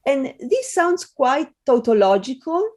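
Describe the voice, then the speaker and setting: Lively Italian-accented woman, mellow voice. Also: high voice. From Italy. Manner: thoughtful, with many fillers and pauses. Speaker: guest scholar. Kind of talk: conversational interview answer.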